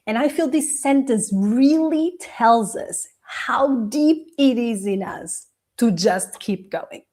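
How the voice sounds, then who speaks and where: A woman whose first language is Portuguese, a coach hosting a casual live video, talking warmly to viewers, laughing often and pausing to sip tea. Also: fairly high pitch, expressive. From Portuguese Angolan. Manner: chatty and encouraging, laughs often, sips tea.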